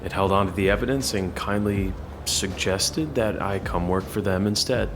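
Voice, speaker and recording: An actor playing a confident, cagey hacker turned recruiter in an audio drama, speaking in a measured, self-assured and probing way. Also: male voice, low-pitched, background noise. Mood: musing. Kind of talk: full-cast audio drama dialogue.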